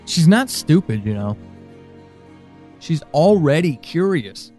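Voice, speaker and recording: A man playing a worried, frustrated friend in an audio drama monologue, speaking haltingly and intensely. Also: a little high, big pitch swings, dark tone, faint music behind. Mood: worried, resigned.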